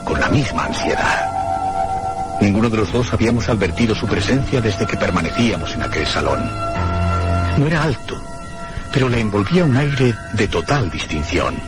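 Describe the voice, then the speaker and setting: Low, animated, troubled gentleman. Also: moderate pace, loud music behind the voice. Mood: brooding. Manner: formal, brooding, dramatic. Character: troubled, inquisitive gentleman hotel guest recounting a ghostly mystery. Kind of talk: radio mystery acting and first-person narration.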